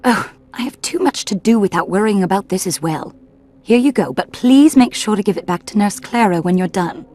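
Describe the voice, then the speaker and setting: Woman doing a busy flustered staff lady in a game, middle pitch, polite. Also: lively intonation, fairly quick, a little mellow, close to an everyday voice. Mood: harried. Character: harried busy adult female staff member. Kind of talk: video game cutscene acting.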